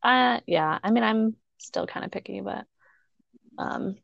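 A woman co-hosting a podcast in casual chat, relaxed and teasing, laughing along. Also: medium pitch, a little slow, vocal fry, no echo, muffled recording. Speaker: podcast co-host.

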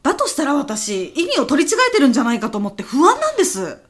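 A woman's voice: sits high, huge pitch swings, fast. A woman playing a polite, earnest and worried office subordinate in a comedic radio drama, speaking in a businesslike, matter-of-fact way. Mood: worried, insistent.

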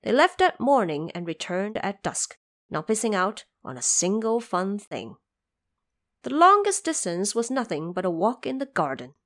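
A woman narrating a scripted audio drama tale, pausing for explanatory asides. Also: mid-range voice, sing-song, no echo, clean studio sound.

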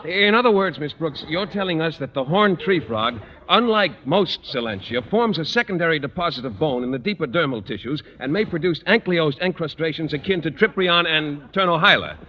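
A man's voice: a little high, lively intonation, brisk, few pauses, big-room sound, natural voice. An actor playing a mild, naive male biology teacher, hesitant, courteous and a little pedantic, in old radio sitcom style. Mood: polite lecturing. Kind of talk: 1940s-50s radio sitcom acting. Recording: muffled old radio recording, faint noise.

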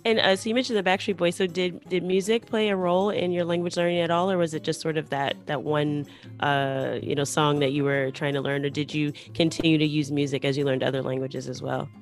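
A woman's voice: deep voice, flat delivery, a little fast, faint music behind. A woman hosting a podcast, chatting casually with her guest and using many fillers. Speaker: podcast host. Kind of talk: casual interview chat.

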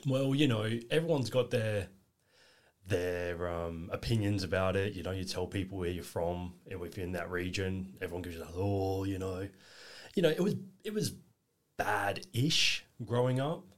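Low-voiced man in his twenties. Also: moderate pace. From England. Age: 20s. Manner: easygoing, rambling, lots of "you know". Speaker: guest. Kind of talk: casual interview chat.

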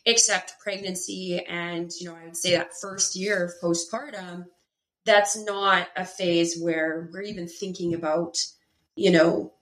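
A woman explaining fitness in a casual, animated way, with many fillers and rhetorical questions. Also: fairly low pitch, a little slow. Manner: animated, lots of filler words and rhetorical "right?". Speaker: pelvic health and fitness expert guest. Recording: echoey room.

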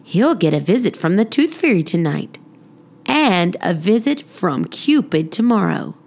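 A woman's voice: on the low side, big pitch swings, unhurried, vocal fry, a little mellow, full character voice. A woman voicing a playful, patient father in a storybook, wry as he explains things.

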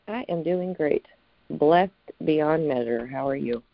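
A podcast co-host giving short, affirming replies. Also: male voice, a little high, slow, dry recording. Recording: dull lo-fi call audio.

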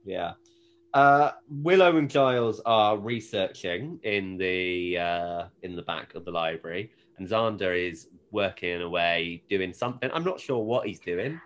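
A man's voice: sits low, expressive, a little slow, a bit bright. A man co-hosting a casual episode recap, telling it in a hesitant, amused, rambling way. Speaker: podcast co-host recapping a TV show.

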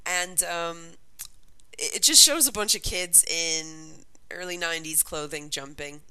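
A woman's voice: lowish voice, unhurried, bright, forceful tone, smooth. A woman co-hosting a casual podcast about old toy commercials, talking in a rambling way with lots of ums and sounding sniffly from a cold. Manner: chatty, rambling, with frequent ums. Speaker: podcast co-host.

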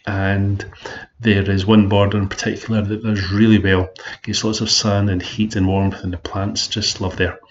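A man's voice: on the low side, muffled recording. Scottish man hosting a gardening podcast. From Scotland. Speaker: podcast host, amateur gardener. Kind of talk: solo podcast talk.

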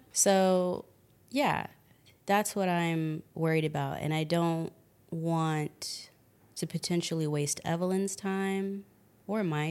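Low-voiced woman chatting casually. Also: a little mellow. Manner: chatty, thinking aloud with fillers. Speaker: podcast co-host. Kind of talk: casual chat.

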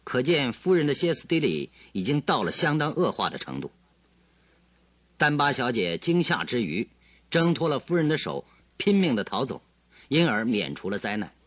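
A confident, analytical detective in a radio drama. His mid-pitched voice moves expressively through assured, theatrical explanations. The sound is close and dry. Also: very animated, normal speed, a bit bright, no room sound. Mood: assured, explaining. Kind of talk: Chinese radio drama acting.

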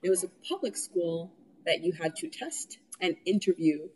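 A woman's voice: fairly low pitch, expressive, moderate pace, warm tone, a little hiss or hum, poor audio. A young woman from New York City, chatting easily in an interview, laughing often and using plenty of fillers. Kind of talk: casual interview conversation.

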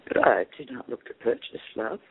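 A man voicing a spirited young farmer's daughter who trades teasing, quick-witted banter. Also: mid-range voice, sing-song, vocal fry, husky, full character voice. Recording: tinny phone-like line.

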